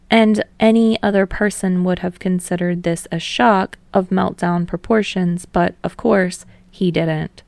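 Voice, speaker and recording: A woman's voice: medium pitch, gravelly, a little mellow, no echo. A woman reading fiction aloud as a scripted audiobook narrator.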